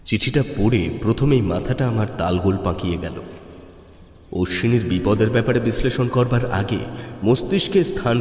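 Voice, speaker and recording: A man narrating a horror story in the first person, expressive and measured in his storytelling. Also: fairly low pitch. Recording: echoey reverb, music underneath.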